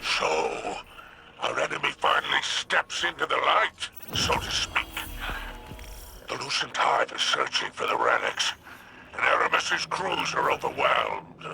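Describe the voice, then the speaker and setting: Gravel-throated, scheming alien crime boss. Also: male voice, mid-range voice, sharp tone, a little hiss or hum, heavily acted, poor audio. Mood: scheming, intrigued. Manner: drawling, wry, calculating. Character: shady, sardonic male alien crime boss, greedy and scheming. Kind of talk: theatrical video game voice acting.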